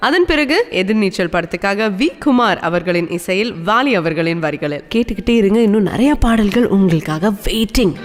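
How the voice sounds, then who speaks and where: A woman hosting a radio show in an excited, chatty way, talking straight to her listeners. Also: mid-range voice, a little fast, hardly any pauses, big-room sound. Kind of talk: upbeat radio show presenting.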